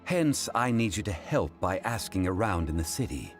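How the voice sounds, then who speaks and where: An actor playing a composed, scholarly gentleman in a video game, formal, measured and eloquent. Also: low-pitched, big pitch swings, faint music behind. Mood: calm, formal.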